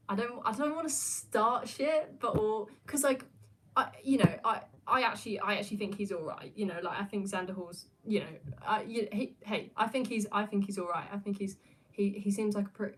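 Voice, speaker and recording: Young woman, English accent, rambling casual chat, self-deprecating, lots of ums and restarts, reading viewer comments. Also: mid-range voice. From New Zealand.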